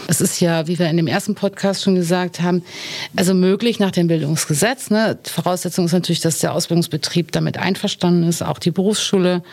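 A woman's voice: on the low side, fairly even intonation, fast, darkish tone. A woman working as a mobility advisor, talking in a chatty, informal way and often adding tag questions. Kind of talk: conversational interview.

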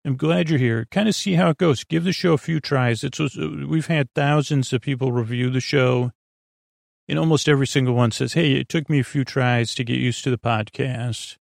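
Male podcast host, gravelly creak, dry close sound, meandering bedtime monologue, hesitant, reassuring. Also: moderate pace, no echo. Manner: low-pressure, reassuring.

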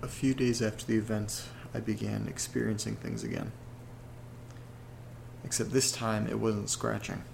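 A man playing an uneasy horror podcast host who reads letters aloud, earnest and deliberate, with pauses between phrases. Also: lowish voice, flat delivery, vocal fry, noisy background. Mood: uneasy, troubled. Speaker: audio drama podcast host character reading listener letters. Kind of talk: dramatic narration in a horror audio drama.

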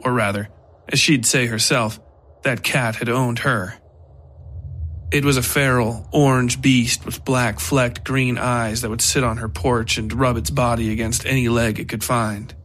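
A man's voice: medium pitch, steady tone, measured pace, a bit bright, slight background noise. A man reading a scripted horror story as its narrator. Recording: music behind the voice. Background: music.

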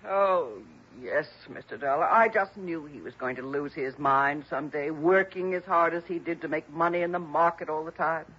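An actress playing a gushing, chattering wealthy wife in a mid-century radio drama, sentimental and effusive. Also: female voice, sits low, moderate pace, few pauses, a bit bright, slightly rough. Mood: gushing, wistful. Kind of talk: 1950s-60s radio drama acting. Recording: muffled old radio drama recording.

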